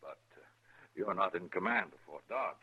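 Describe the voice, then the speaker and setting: An actor playing a curt, skeptical cavalry major in a 1950s radio western, with a clipped, authoritative and dismissive manner. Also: male voice, deep voice, normal speed, breathy edge, full character voice. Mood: dismissive, suspicious. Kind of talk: 1950s radio drama acting. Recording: tinny vintage 1950s radio recording.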